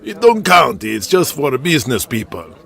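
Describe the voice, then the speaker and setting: A man's voice: medium pitch, sing-song, normal speed, gravelly, fairly bright tone. An actor playing a brash, grumbling man of eighties pro wrestling, blunt and argumentative, in an audio drama. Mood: indignant. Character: brash, foul-mouthed, grumbling men of 1980s pro wrestling.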